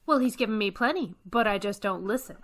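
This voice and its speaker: A woman's voice: medium pitch, animated, brisk, close to an everyday voice. A woman playing a wry, long-suffering schoolteacher in old-time radio comedy, with a dry, wisecracking delivery. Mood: wry complaint. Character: wry, long-suffering female high school English teacher. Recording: noticeable noise with clicks.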